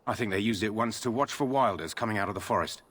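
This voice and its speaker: A fantasy game soldier on guard duty. He talks fast in a lowish voice, terse and matter-of-fact. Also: a bit bright. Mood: dutiful, matter-of-fact. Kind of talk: video game character dialogue.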